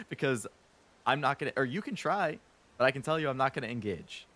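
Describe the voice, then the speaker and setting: A man streaming a game, chatting casually and rambling a bit, stuttering now and then when he talks fast. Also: mid-range voice, animated, a bit bright. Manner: rambling, chatty, lots of fillers.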